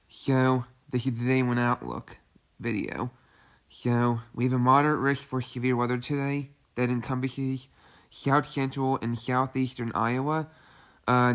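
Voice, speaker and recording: A man with a stuffy, congested voice hosting a casual severe weather briefing, halting and repeating himself with many fillers. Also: medium pitch. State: congested from a cold. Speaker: weather video host. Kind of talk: casual weather briefing over outlook maps.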